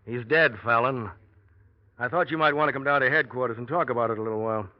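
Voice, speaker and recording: A man's voice: medium pitch, fast, natural voice. A man playing a cool, probing police inspector in a 1940s radio drama, dry and insinuating with a suspect. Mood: matter-of-fact. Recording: dull, muffled old radio recording.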